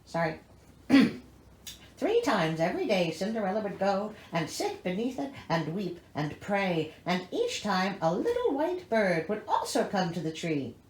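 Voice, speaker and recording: A woman's voice: mid-range voice, wildly swooping pitch, unhurried, darkish tone. A woman reading a storybook aloud as host, with theatrical delivery and sarcastic, incredulous comic asides. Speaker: host reading a fairy tale with commentary. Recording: echoey, roomy sound.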